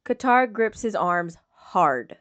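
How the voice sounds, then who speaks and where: A woman's voice: mid-range voice, sing-song, slow, a bit bright. A woman reading scripted fiction as an audiobook narrator.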